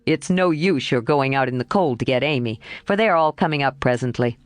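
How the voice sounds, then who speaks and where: A woman reading aloud and voicing a mischievous, boyishly charming young man, lowering her voice in a playful, sheepish way. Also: expressive, brisk, dry recording. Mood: fond.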